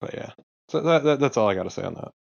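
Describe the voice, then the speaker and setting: Slightly muffled, lo-fi audio carries a man's mid-pitched voice. He gives casual gaming commentary as a co-commentator, talking plainly and off the cuff. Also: animated, fast talker, vocal fry.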